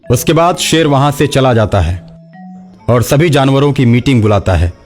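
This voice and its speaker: A man's voice: medium pitch, expressive, normal speed, a little mellow. A man narrating a scripted children's story, with music behind him.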